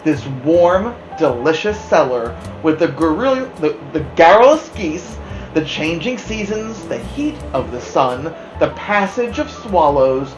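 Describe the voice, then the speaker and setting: A woman narrating a children's story aloud as a host, in an expressive storytelling style. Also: sits low, unhurried, muffled recording, over music. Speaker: storybook reader, podcast host. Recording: roomy, echoing room sound.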